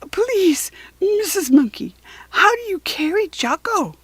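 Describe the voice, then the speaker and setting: A woman's voice: very high voice, big pitch swings, slow pace, a bit bright, no echo, voice pushed up, exaggerated voice. A woman voicing a sad, earnest mother kangaroo who pleads tearfully yet hopefully in a children's storybook. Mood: hopeful, eager.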